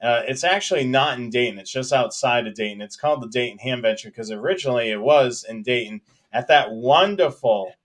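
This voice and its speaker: A man's voice: mid-range voice, a little fast, few pauses. Man co-hosting a casual hobby live stream. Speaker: co-host of a live hobby show about police scanners.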